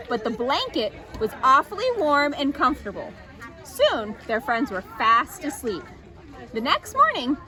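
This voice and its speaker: A woman reading a children's story aloud as an expressive storytime narrator. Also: high voice.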